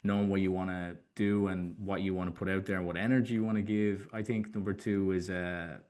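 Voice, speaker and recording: A man's voice: sits low, flat delivery, brisk, a little mellow. A young Irish man hosting a casual interview in an informal, loosely hesitant way. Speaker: podcast host.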